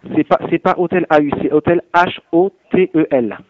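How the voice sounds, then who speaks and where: A man's voice: a little high, normal speed, a little hiss or hum. A man working as a hotel night receptionist, patiently and helpfully explaining things step by step over the telephone. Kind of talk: phone customer service, spontaneous conversation. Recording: tinny narrowband phone line.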